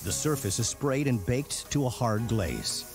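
A man reading scripted television documentary narration in a measured way with dramatic emphasis. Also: mid-range voice, animated. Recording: music behind the voice. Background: background music.

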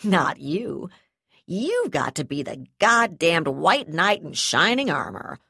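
A woman reading the lines of a ruthless, foul-mouthed female brigadier in an audiobook, snarling and sneering loudly. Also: fairly low pitch, animated, normal speed, no room sound, clean studio sound. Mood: snarling threats. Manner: snarling, sneering, loud threats.

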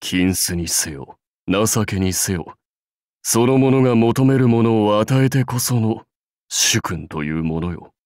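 A man's voice: on the low side, expressive, slow pace, darkish tone, no room sound, studio quality. A man voicing a proud, seasoned samurai warlord in a mobile game, speaking in a dignified, archaic lordly manner. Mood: lordly, instructive. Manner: stately, archaic lordly speech. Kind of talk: Japanese mobile game character voice lines.

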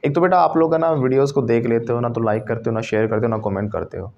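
A man's voice: medium pitch, quick pace, creaky, a little mellow, dull audio. A man with an Indian accent teaching a spoken English class, prompting students to repeat phrases. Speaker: English trainer.

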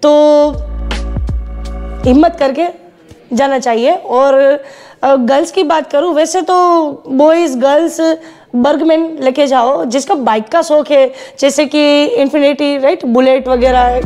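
A woman in her thirties answers questions in a shop office, her voice high yet soft and mellow. She gushes with repeated praise, pausing on fillers between thoughts. Faint music sits behind her lapel-miked voice. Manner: enthusiastic, repetitive praise, hesitant fillers. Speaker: customer giving a testimonial. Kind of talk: casual interview answers. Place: indoor rental shop office. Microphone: lapel mic.

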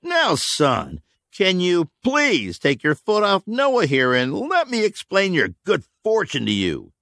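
A man voicing a smooth-talking male official who speaks slowly, calmly and grandly. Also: high voice, no echo, clean studio sound, voice pushed up, full character voice. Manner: slow, calm, speechifying.

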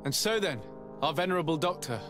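Earnest young noble guy male actor, bit higher voice, formal talk, fantasy game w music under it. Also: lively intonation, a little fast, natural voice. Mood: urgent. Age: young adult. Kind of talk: scripted video game acting.

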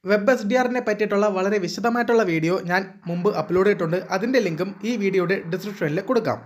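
A man's voice: high-pitched, rapid-fire. A man explains things as the host of an electronics tutorial.

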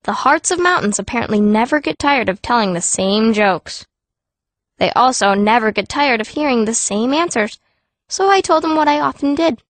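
A woman's voice: a little high, expressive, moderate pace, no room sound. A woman reading scripted first-person narration as a fierce young girl heroine of a fantasy audiobook. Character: fierce, stubborn girl stone mage telling her story.

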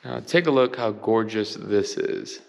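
A man's voice: on the low side, measured pace, vocal fry, darkish tone, dull audio. A man giving a casual, enthusiastic walkthrough of a house for sale, pointing out details as he films on a handheld camera. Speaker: real estate agent giving a home tour. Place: empty house, large bare rooms. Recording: long reverb of empty rooms.

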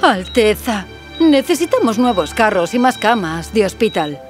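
A woman voicing a stern, loyal older nurse in a cartoon fairy tale, brisk and scolding. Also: mid-range voice, very animated, faint music behind, close to an everyday voice.

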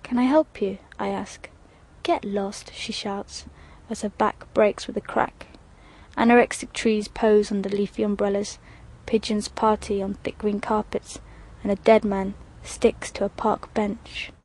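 A woman reading her own poetic voice-over narration, in a measured, evocative way with short fragmented phrases. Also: medium pitch, level tone, darkish tone.

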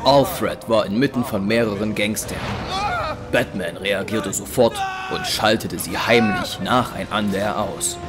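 A man's voice: lowish voice, a little slow, over loud music. A man narrating an action audio drama from a script.

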